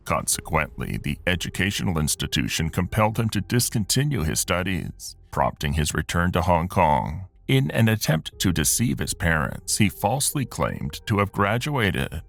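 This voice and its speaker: Deep gravelly male narrator. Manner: formal, measured delivery. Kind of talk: scripted true crime narration. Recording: music bed behind narration.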